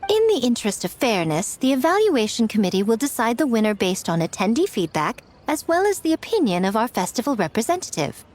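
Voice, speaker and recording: A woman's voice: fairly high pitch, sing-song, brisk, hardly any pauses, a little mellow. Sly, teasing woman, swooping delivery. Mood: formal, announcing. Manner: playful, leisurely teasing. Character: poised, teasing adult woman, sly festival organizer. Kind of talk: anime game voice acting.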